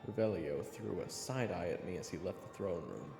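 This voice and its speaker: A low-voiced man narrates a fantasy audio roleplay with a creaky edge and expressive swings. He tells it in the first person, dryly commenting as he goes. His voice echoes over a loud music bed. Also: moderate pace. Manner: dramatic storytelling with wry asides. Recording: reverberant hall effect, loud music bed.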